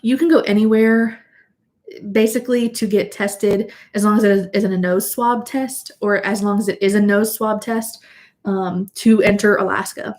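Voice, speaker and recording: A young woman talks loosely to her viewers on a home livestream, her voice a little sniffly from allergies. She rambles at an everyday pace, at a middle pitch for a woman, with plenty of "um"s and restarts. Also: steady tone, moderate pace, a little mellow. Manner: chatty, rambling, with fillers and restarts. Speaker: vlogger hosting a livestream. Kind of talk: casual livestream chat. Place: at home indoors.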